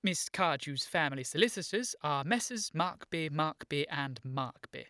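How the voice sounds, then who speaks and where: An actor playing an earnest, indignant upper-class English gentleman in a radio comedy, with formal and precise politeness. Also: on the high side, lively intonation, measured pace, dry recording, studio quality. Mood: stiffly formal. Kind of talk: radio play comedy of manners.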